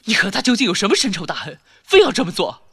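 一名男演员在广播剧中饰演温和却倔强忠诚、不安迟疑的被绑年轻人。. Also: male voice, high voice, huge pitch swings, fast talker, a bit bright, slightly rough, heavily acted. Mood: defiant. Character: captive young man, mild but stubbornly loyal. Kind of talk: Chinese radio drama acting. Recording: music behind the voice.

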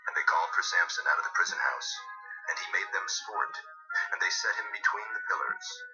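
A man narrating dramatized scripture in a solemn, measured storytelling manner. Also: deep voice. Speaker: Bible narrator. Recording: dull lo-fi audio with music.

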